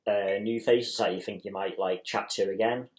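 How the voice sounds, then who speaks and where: A man hosting a podcast, asking relaxed questions and backing the guest with frequent short agreements. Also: fairly low pitch, moderate pace, echoey room. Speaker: podcast host. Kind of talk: casual interview chat.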